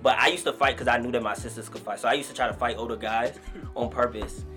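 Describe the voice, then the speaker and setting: A man in his twenties from Nigeria, a guest rapper telling stories in relaxed, slangy casual talk. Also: mid-range voice, fast, music in the background. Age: 20s. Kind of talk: casual podcast interview.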